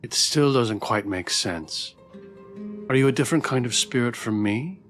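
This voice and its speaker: A man's voice: mid-range voice, expressive, normal speed, a bit bright, faint music behind, natural voice. A man voicing a confused, wronged spirit who wants answers, reading visual novel dialogue aloud in earnest. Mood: puzzled, questioning. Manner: questioning, earnest.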